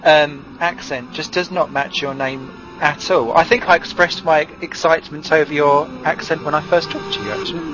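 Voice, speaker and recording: A man's voice: a little high, expressive, background noise. A man giving chatty, joking play-by-play commentary on a sim race. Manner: chatty, jokey, fast play-by-play. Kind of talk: sim racing live commentary. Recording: muffled stream audio with music behind.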